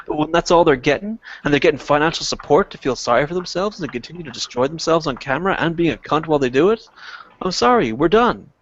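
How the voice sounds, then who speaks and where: A man's voice: on the high side, expressive, fast talker, slight background noise. A man chatting casually, blunt, opinionated and given to swearing. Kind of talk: casual chat. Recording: dull lo-fi internet call audio.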